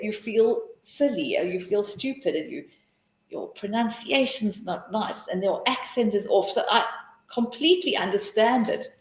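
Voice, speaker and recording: A woman's voice: on the low side, echoey room, rough recording. A South African woman hosting a live teaching webinar, answering questions in a chatty, encouraging way with many restarts.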